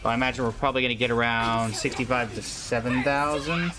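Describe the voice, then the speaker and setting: A man's voice: mid-range voice, steady tone, fast. A man chatting casually as he livestreams a game, easygoing as he answers and advises viewers. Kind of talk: casual livestream chat and commentary. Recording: game music behind the voice. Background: game music.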